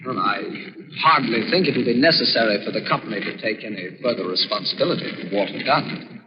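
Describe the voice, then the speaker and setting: Man acting formal businessman, lively intonation. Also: on the low side, fairly quick, a crowd in the background, lightly acted. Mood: taken aback. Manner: formal, earnest. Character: polite, hesitant businessman, respectful and easily persuaded. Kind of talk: 1930s radio drama acting. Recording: muffled, reverberant 1930s radio recording.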